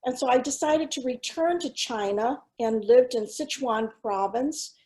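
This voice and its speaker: Earnest, measured middle-aged woman speaker. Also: on the high side, warm tone, rough recording. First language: Cantonese. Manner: measured, earnest, pauses with fillers. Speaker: school superintendent giving a keynote. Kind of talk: presentation with slides.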